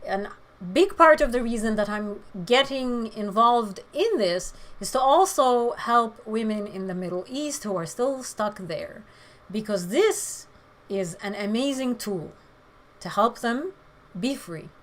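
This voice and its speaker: A woman with a hoarse voice, chatting frankly with viewers during a livestream and giving her opinions with many pauses. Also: a little high, animated. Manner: frank, opinionated, hesitant fillers. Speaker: livestream host. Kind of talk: casual chat answering viewer comments.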